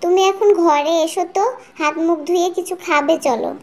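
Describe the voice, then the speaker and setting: An extremely high, childlike-pitched village housewife from a cartoon, acted by a woman. She is a devoted younger sister who fusses hospitably over her family. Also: steady tone, brisk. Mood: welcoming, reassuring. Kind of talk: Bangla cartoon dialogue.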